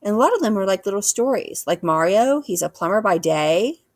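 Woman playing a blunt American kid, gravelly-edged and animated. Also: medium pitch, a little fast, dry recording, clean studio sound. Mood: insistent. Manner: plain-spoken, skeptical. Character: blunt, curious American boy, cheeky and unimpressed. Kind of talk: children's storybook character voice.

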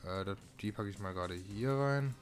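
Low-voiced man thinking aloud over music. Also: normal speed. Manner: thinking aloud while playing. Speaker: gaming video host. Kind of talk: casual let's-play commentary. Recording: music behind the voice.

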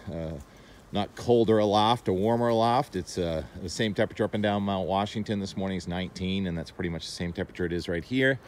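A low-voiced man chats easily about the weather as he walks his dog, talking into a handheld phone. He is outdoors at a mountain resort at dawn, with faint outdoor noise behind him. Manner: easygoing, chatty, talks while walking the dog. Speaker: weather forecaster and vlogger. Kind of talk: casual walking weather vlog.

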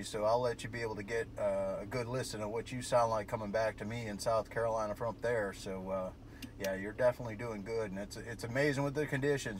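A man talking casually as a radio operator, rambling through jargon, recorded on a cell phone. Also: fairly low pitch. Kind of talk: casual radio contact chat. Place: vehicle parked at night. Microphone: cell phone held near the radio. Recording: cell phone video with clear background noise.

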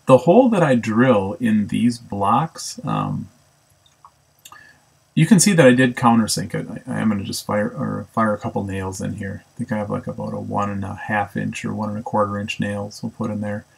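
Male shop teacher, raspy low voice with heavy fry, easygoing, self-correcting narration. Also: moderate pace. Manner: relaxed, thinks aloud with many ums and self-corrections. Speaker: woodworking instructor. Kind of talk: casual how-to narration. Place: home woodshop.

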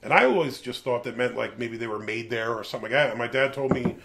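A man in his forties with a gravelly edge, hosting a beer review from a small room lined with shelves. He talks in loose, animated asides and cracks jokes as he goes. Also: mid-range voice, quick pace, heavy background noise. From American Northeast. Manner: animated, jokey, rambling asides. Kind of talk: casual chat.

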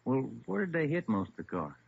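An actor playing a plainspoken, weary police detective sidekick in a vintage radio drama, terse and conversational. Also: male voice, medium pitch, sing-song, fairly quick, vocal fry, muffled recording. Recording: thin, hissy old radio recording.

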